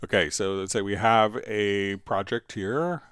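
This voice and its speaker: Low-pitched man giving a software tutorial. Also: unhurried, clean tone, dry recording, studio quality. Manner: talks through each step as he works. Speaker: host answering viewer questions on a software tutorial stream. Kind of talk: casual software demonstration.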